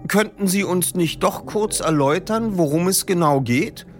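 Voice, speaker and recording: A man's voice: high voice, normal speed, faint music behind, voice pushed up, close to an everyday voice. A man voicing a composed, polite teenage boy detective who asks precise questions.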